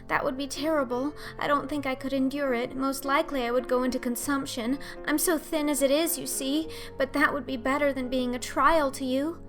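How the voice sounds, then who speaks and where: An earnest orphan girl of eleven voiced by a woman in an audiobook, pitched high. She is imaginative and wordy, breathless and melodramatic, with music clearly behind her. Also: steady tone, a little fast, hardly any pauses, fairly bright tone. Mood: tearful pleading. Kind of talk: audiobook character acting.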